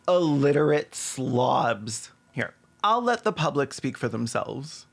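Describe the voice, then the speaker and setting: A man's voice: medium pitch, sing-song, slow, creaky. A man hosting a scripted comedic commentary with casual asides and a sarcastic, irreverent manner. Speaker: video essayist, host.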